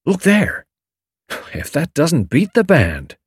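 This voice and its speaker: A man voicing a confident, excitable young American adventurer with a slangy drawl, in an audiobook story. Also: a little high, slow, breathy edge, no echo, clean studio sound, pitched above the performer's own voice, exaggerated voice. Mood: excited, rattled.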